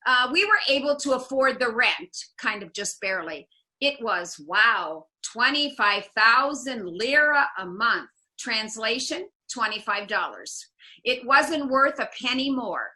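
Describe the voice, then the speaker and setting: An older American woman from the Midwest, in her seventies, tells her story as a tour guide on a video call. She sounds high and very bright. She savors the Italian words she drops in. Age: 70s. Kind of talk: illustrated talk over slides.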